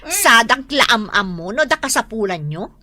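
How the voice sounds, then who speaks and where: A woman's voice: a little high, big pitch swings, measured pace, bright, forceful tone, dry recording, heavily acted. A woman playing a firm grown woman who scolds friends over unpaid loans, in a radio drama. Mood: scolding.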